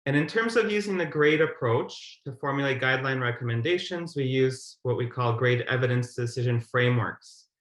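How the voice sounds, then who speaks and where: A man in his thirties gives a prepared webinar presentation in a formal, measured way over a video call. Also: mid-range voice, animated, a bit bright. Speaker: guideline methodologist, webinar presenter. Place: indoor room on a video call. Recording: roomy, echoing webcam audio.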